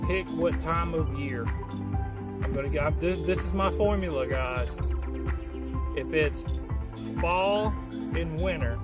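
A young man, giving fishing tips in a chatty, folksy, rambling way, recorded outdoors on a close camera. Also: on the high side. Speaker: fishing channel host. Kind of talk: casual fishing tips vlog. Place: outdoors in a leafy backyard. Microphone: camera close at arm's length.

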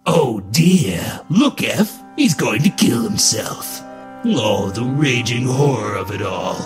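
A man's voice: medium pitch, big pitch swings, slow. A man voicing a gloomy, scornful doughboy figurine in a comic dub, pompous and theatrical in his contempt. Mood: mock dismay, scorn. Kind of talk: dramatic comic-book dub. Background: loud music under the voice.

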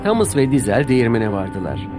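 A male narrator with a medium-pitched voice tells a children's tale. His delivery is scripted and expressive, and music plays clearly behind him. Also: a little fast.

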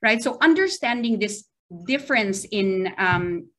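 A woman's voice: medium pitch, expressive, unhurried, a bit bright. A middle-aged woman with a Filipino accent giving an online academic lecture, hesitant and often checking in with a questioning tag. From Philippines. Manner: hesitant, checking in with frequent "right?". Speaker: university lecturer giving a seminar. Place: small video-call window, remote presentation.

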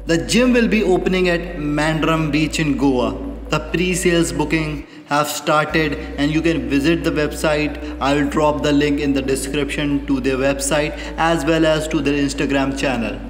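A man's voice: on the high side, moderate pace. A young man, hosting an instructive voice-over that talks viewers through the steps. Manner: instructive, addresses viewers as guys. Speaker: MMA channel host. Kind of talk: explainer voice-over. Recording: music bed under voice.

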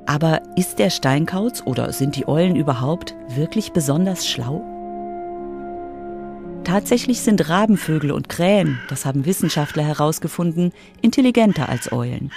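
A woman's voice: low voice, creaky, darkish tone. Woman narrating a radio feature over music. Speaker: narrator and reporter.

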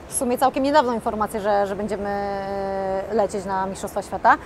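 A woman in her thirties answers interview questions calmly, her sentences trailing off softly. Her voice sits at a middle pitch. She is recorded outdoors on a lapel mic, with clear city traffic noise behind her. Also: brisk. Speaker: boxer. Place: outdoors by a glass building.

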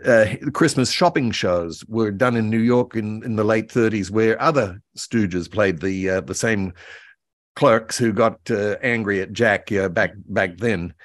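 Halting, low-voiced older man. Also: dry recording, studio quality. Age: around 70. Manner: halting, repeats words as he thinks. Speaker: guest expert, author and voice actor. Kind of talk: reminiscing in a conversational interview. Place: indoor room by a window, video call.

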